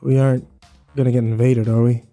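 A man's voice: mid-range voice, level tone, brisk, warm tone, faint music behind, natural voice. A man playing a weary, sarcastic young survivor who narrates and talks in an informal first-person audio drama. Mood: deadpan, exasperated. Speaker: actor and narrator.

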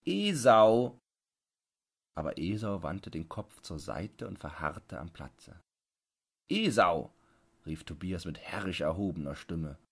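A man's voice: low voice, moderate pace, few pauses, breathy edge. A man narrating a literary audiobook as a scripted reading.

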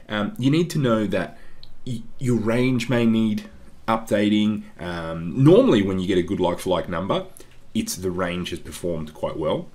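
Congested deep-voiced man chatting stocks. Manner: chatty, keeps saying "guys", frequent ums. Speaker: investing channel host. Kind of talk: casual stock analysis over slides. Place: voice-over on screen-share slides.

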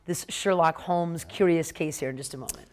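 A woman's voice: low-pitched, normal speed. An American woman hosting an interview, chatting in an upbeat broadcast style with enthusiastic reactions. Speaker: TV morning show host. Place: TV studio.

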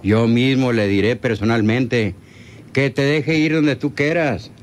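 Actor as a coaxing rustic bandit, noisy recording. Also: male voice, mid-range voice, a little slow, natural voice. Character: scheming rural male bandit henchman, persuasive and greedy. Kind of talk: radio serial acting. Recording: noisy old radio serial recording.

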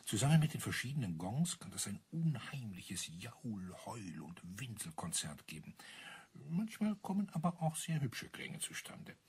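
An actor playing a talkative landlord amused by his eccentric neighbours, husky and explanatory, in a German crime radio drama. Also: mid-range voice, very animated, moderate pace. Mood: musing, enthusiastic. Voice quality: husky, breathy. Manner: chatty, explanatory, savouring details.

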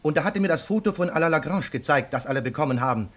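A man's voice: on the high side, lively intonation, rapid-fire, a bit bright. An actor playing an earnest young rookie policeman giving a dutiful, precise report in a radio crime drama.

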